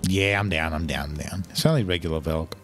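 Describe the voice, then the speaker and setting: Low-voiced Australian man streaming a game. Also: fairly quick, soft background music. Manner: swears freely, reacts loudly to the game. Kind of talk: casual live game commentary.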